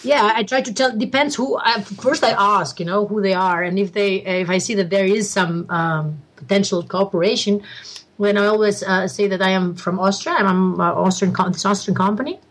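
A woman's voice: mid-range voice, moderate pace. A woman from Albania, a guest in a casual interview, who laughs a lot and often restarts her sentences.

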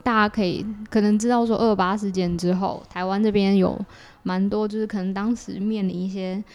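A woman's voice: medium pitch, a little mellow. A woman with a Taiwanese Mandarin accent, explaining things in a casual interview as a podcast guest. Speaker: podcast guest, creator of an audio theatre work.

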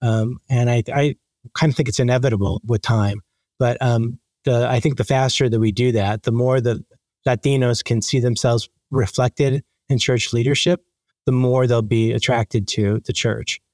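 A man being interviewed, speaking conversationally and haltingly as he thinks aloud with repeated false starts. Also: medium pitch, fairly even intonation, a little mellow, no echo. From born in the Dominican Republic. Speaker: interview guest, magazine editor.

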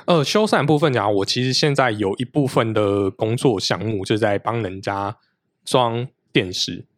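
A man's voice: lowish voice, normal speed, dry recording. Brief: guest, man in his thirties, dry close recording, rambling explanations. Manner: explains at length with frequent restarts and fillers. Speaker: podcast guest. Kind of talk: casual conversational interview.

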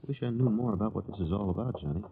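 A terse American hired detective in a vintage radio drama. He sounds low, casual and watchful. The recording is old and dull. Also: animated, rapid-fire, a little hiss or hum. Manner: laconic, casual. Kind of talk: 1950s radio detective acting.

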